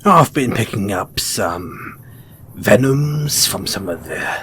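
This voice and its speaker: A man playing a shrewd, mysterious post-apocalyptic merchant in an audio roleplay, speaking in an insinuating, theatrical way with drawn-out pauses. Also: on the low side, animated, a bit bright, husky, heavily acted. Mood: wily salesmanship. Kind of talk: audio roleplay character acting addressed to the listener.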